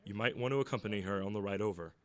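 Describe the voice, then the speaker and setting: A man's voice: fairly low pitch, rapid-fire, fairly bright tone, lightly acted. A man playing a sceptical police officer in an audio drama, speaking in an official, matter-of-fact way. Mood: brisk, doubtful. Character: brisk, sceptical police officer questioning youngsters. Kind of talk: scripted audio drama acting.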